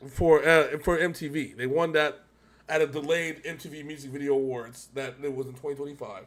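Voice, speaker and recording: American guy chatting casually, kinda higher voice, rambles a lot, slangy reaction host. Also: fairly even intonation, brisk. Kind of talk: casual chat.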